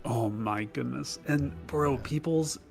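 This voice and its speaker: A man's voice: medium pitch, takes it slowly, vocal fry, faint music behind. A man chatting casually about games with others, emphatic and prone to repeating his phrases. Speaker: voice chat participant. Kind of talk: casual gaming chat.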